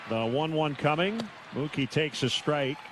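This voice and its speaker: A man doing live baseball play-by-play on the radio in measured, terse calls, with pauses between them. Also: a little high, noisy background. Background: outdoor ballpark ambience.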